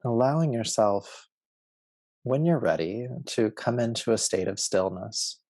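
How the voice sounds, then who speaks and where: A man guiding a yoga nidra relaxation as a teacher, with a soothing, measured, instructive delivery. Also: fairly low pitch, lively intonation, a little mellow, no room sound. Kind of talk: guided relaxation meditation.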